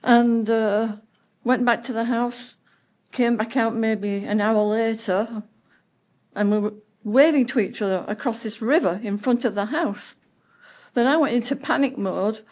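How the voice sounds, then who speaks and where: A woman in her seventies, her voice sitting high, speaks at a county meeting while distraught and close to tears. She halts, breaks off mid-word and slips into nervous laughter. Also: unhurried, darkish tone. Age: 70s. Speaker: resident giving public comment. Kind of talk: public comment at a county meeting.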